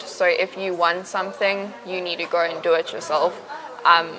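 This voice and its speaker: Young South African woman, bright voice. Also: fairly low pitch, rough recording. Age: young adult. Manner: relaxed, chatty, lots of fillers like "um, so yeah". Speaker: university student. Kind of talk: casual interview answers.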